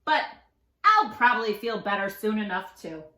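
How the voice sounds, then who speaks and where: A woman's voice: medium pitch, expressive, moderate pace, bright, forceful tone, roomy sound, pitched below the performer's own voice. A woman voicing a grumpy young male chimpanzee for a children's picture book, sulky and wailing in an exaggerated way.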